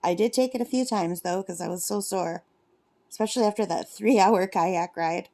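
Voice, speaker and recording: A woman's voice: medium pitch, moderate pace, warm tone. A woman in her fifties chatting casually in a rambling, self-correcting way, vlogging from her lakeside cabin while she crafts. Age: 50s. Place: cabin at a lake.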